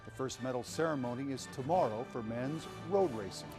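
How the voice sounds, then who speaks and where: A man's voice: medium pitch, fairly quick. Middle-aged American male news anchor over music. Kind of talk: broadcast news presenting. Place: TV news studio.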